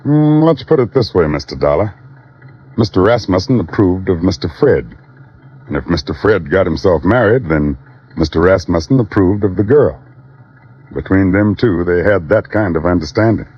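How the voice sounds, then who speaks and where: Deep, husky folksy chauffeur. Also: male voice, expressive, moderate pace. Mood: confiding. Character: plain-spoken, loyal, courteous male chauffeur. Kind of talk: 1950s radio drama acting. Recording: dull vintage radio recording.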